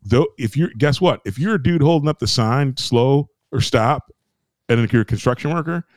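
Brief: American man, mid-pitched, a rambling ranter on a podcast, dry close mic. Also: expressive, moderate pace, no room sound. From United States. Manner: emphatic, repetitive, rambling with restarts and swearing. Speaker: podcast co-host. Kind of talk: ranting casual podcast chat.

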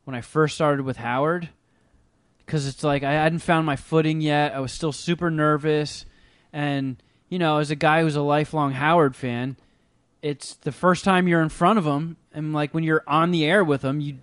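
A man's voice: fairly high pitch, steady tone, moderate pace, smooth, no echo, clean studio sound. A man hosting a podcast, telling loose, profane, self-mocking stories in casual chat. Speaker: podcast host.

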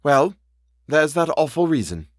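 A man reads as a resolute young governess with lively, swinging intonation. She is intense, insistent and decisive, in storybook dialogue recorded dry and close. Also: a little high, measured pace, dry recording, voice pushed up. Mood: inexorable. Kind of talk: audiobook character dialogue.

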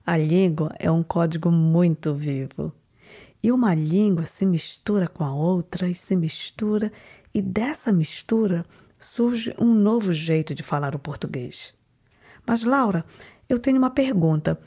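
A woman narrating a documentary in a calm, measured, slightly whispery way and putting questions to her interviewees. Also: fairly low pitch, breathy edge. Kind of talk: reflective scripted narration.

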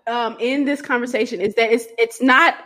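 A woman hosting a casual podcast conversation, reflective and halting, with many fillers. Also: fairly high pitch, steady tone, fairly bright tone. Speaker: podcast host.

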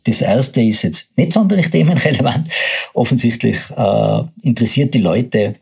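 Husky, gravelly Tyrolean podcast host. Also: male voice, mid-range voice, moderate pace, darkish tone. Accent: Tyrolean German. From Tyrol, Austria. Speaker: podcast host, agency co-founder. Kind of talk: explanatory solo podcast.

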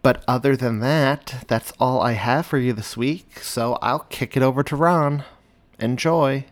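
Mid-pitched male podcast host. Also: measured pace. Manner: folksy address to listeners. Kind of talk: casual podcast introduction.

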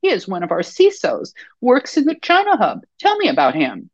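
A woman's voice: medium pitch, wildly swooping pitch, normal speed, dry recording, lo-fi sound, voice pushed up. A woman voicing a poised, scheming French woman executive in her fifties who questions coldly and insistently, with a French accent. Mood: probing. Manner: cold, probing, insistent.

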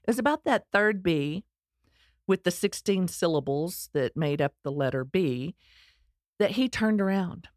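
A woman's voice: fairly low pitch, animated, measured pace, no echo, studio quality. A middle-aged woman with a Texan accent telling her personal story in a candid, earnest way, with touches of self-deprecating humor. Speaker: speaker sharing her life story.

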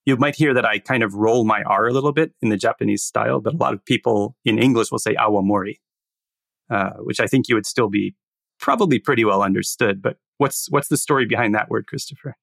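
A man's voice: fairly low pitch, brisk, creaky, a bit bright, dry recording. A man co-hosting a podcast, from the United States, talking in an easygoing way and laughing often. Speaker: podcast co-host. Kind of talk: casual podcast chat.

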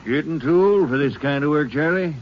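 A man's voice: a little high, fairly quick, lightly acted. A man playing a kindly old storekeeper. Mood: warm, folksy. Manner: easygoing, folksy and gentle. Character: older male small-town hardware store owner, kindly. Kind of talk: 1950s radio drama acting. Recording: noisy lo-fi old radio broadcast.

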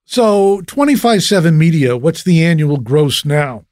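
A man hosting an interview, firing blunt and quick questions at his guests. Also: on the high side, sing-song, a little mellow, no room sound, clean studio sound. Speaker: interview host. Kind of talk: podcast interview.

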